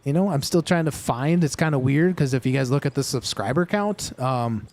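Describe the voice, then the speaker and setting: A man's voice: mid-range voice, expressive, normal speed, a little mellow. A man hosting a casual gaming livestream, talking to his viewers in a rambling way with many fillers and restarts. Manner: chatty, talks straight to chat viewers.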